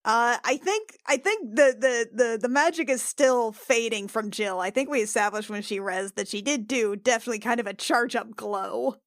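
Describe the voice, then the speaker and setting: A woman's voice: fairly high pitch, no echo. Hesitant woman at a tabletop game, dry mic. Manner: hesitant, halting. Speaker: player.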